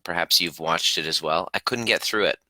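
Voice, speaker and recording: A man who grew up in Canada after early years in Iran, hosting a live question-and-answer stream in an earnest, halting way with many restarts. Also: low-pitched, bright, forceful tone, no room sound. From Iran, raised in Canada. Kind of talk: casual live-stream talk.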